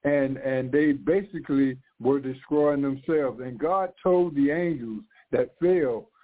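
A man's voice: medium pitch, unhurried. A man in his sixties, a pastor preaching live on radio, congested from the flu, fervent and often repeating and restarting his phrases. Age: 60s. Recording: narrow phone-like radio sound.

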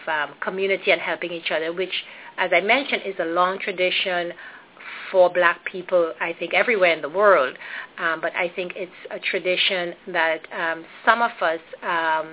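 A Trinidadian woman with a low-set voice talks through her answers slowly and carefully. She fills gaps with ums and restarts her phrases. The sound is narrow and phone-like. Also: steady tone. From Trinidad and Tobago. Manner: thoughtful, hesitant, many ums and restarts. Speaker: guest. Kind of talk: interview conversation.

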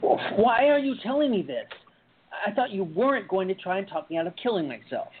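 A man acting in a radio play as a despondent young man with a husky voice, hesitant and defensive, sometimes stammering. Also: high voice, big pitch swings, fairly quick, a little hiss or hum. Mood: wary, puzzled. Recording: tinny, phone-like narrow-band audio.

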